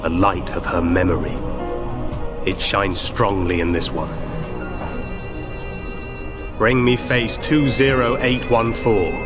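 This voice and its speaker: A low male voice plays a cold, ruthless overlord in a video game. He speaks very slowly, with brooding, imperious menace. Loud ominous music swells behind him. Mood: menacing, commanding. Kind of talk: scripted video game villain monologue.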